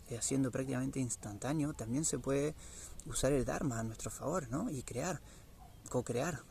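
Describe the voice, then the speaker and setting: Gravelly Argentine man, reflective. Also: medium pitch, a little mellow, a little hiss or hum. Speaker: vlogger sharing spiritual reflections. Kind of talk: reflective spiritual monologue.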